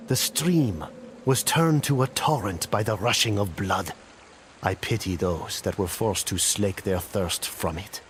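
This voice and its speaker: A man playing a grave storyteller in a game cutscene, narrating ancient wars in a solemn, theatrical, deliberate way. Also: fairly low pitch, a little hiss or hum, lightly acted. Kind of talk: dramatic scripted storytelling narration.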